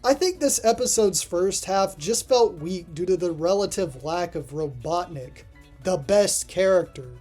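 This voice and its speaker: Casual reviewer doing a voiceover, medium pitch, dry offhand comments, music under it. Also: expressive, measured pace. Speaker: host of a video ranking cartoon episodes. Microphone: voice-over recording.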